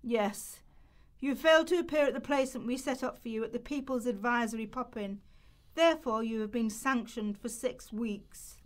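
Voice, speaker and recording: A woman's voice: on the high side, normal speed, natural voice. Cold female benefits official, played by a woman: officious, curt, scolding, radio drama. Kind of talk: amateur radio play rehearsal.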